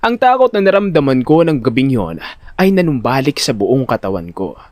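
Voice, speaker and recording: A man with a Filipino accent playing a jittery, vain but good-natured young everyman who tells his own story in an audio drama, expressive and dramatic. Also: mid-range voice, moderate pace, dry recording, clean studio sound, lightly acted. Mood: storytelling. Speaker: narrator and lead actor. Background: music.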